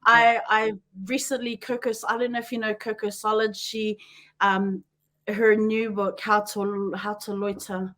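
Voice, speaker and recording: A woman with a New Zealand accent hosting a podcast, chatty and quick to laugh, often wandering off on tangents. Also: medium pitch, little pitch movement, a bit bright. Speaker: podcast host. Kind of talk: casual chat.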